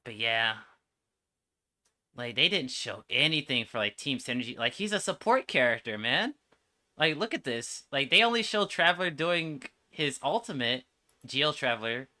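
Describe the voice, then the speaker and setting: A man's voice: mid-range voice, expressive, normal speed, sharp tone. A man giving casual, slangy reaction commentary as a game streamer, with an exasperated manner.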